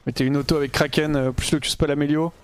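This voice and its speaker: A man's voice: on the high side, level tone, fast, a little hiss or hum. A young man casually commenting live on his own gameplay as a streamer, thinking aloud in slangy talk sprinkled with English gamer terms, into a gaming headset.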